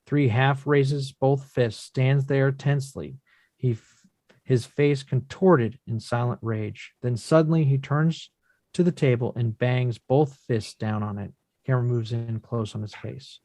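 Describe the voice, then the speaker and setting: A man narrating scripted screen action in measured, deliberate short phrases as the reader of stage directions. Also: medium pitch, steady tone, warm tone, no echo.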